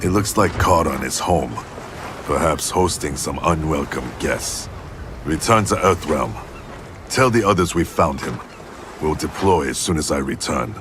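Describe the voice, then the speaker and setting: An actor playing a commanding warrior leader in a video game, terse, serious and authoritative. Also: male voice, rumbling low, animated, unhurried, background noise. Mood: resolute. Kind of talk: dramatic video game acting.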